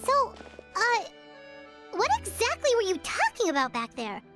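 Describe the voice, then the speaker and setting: A woman's voice: very high voice, wildly swooping pitch, measured pace, loud music behind the voice, heavily acted. A woman voicing a tiny, childlike fairy companion in a video game, curious and perky. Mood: puzzled, curious. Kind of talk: scripted video game acting.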